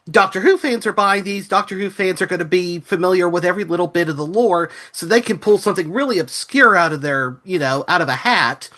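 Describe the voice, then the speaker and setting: A man's voice: sits high, bright, forceful tone. A man talking through his opinions in an analytical podcast review discussion. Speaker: podcast panelist.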